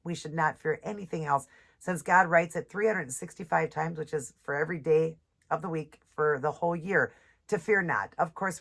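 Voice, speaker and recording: A woman with a stuffed-up voice from a cold, chatting casually and reading devotional passages slowly. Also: deep voice, a bit bright. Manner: chatty, reads scripture slowly, apologizes often. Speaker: nail and devotional vlogger. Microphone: ring light setup, camera close.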